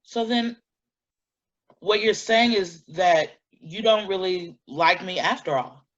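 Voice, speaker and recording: A woman's voice: lowish voice, slow pace, dull audio. An actress in a staged play reading, playing a touchy, indignant, blunt-spoken woman. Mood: hurt, bitter. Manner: blunt, confrontational, emphatic.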